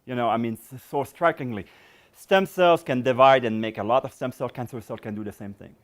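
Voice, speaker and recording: A middle-aged man giving a public science lecture and taking questions, talking in a loose, rambling way with many fillers, his voice going hoarse. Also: mid-range voice, brisk. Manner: rambling, many "you know" fillers and restarts.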